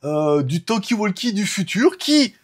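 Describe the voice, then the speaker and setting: Husky-voiced Frenchman chatting casually. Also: high voice, very animated, measured pace. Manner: informal, rambling, conversational. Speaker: host of a tech news show. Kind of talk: casual tech chat.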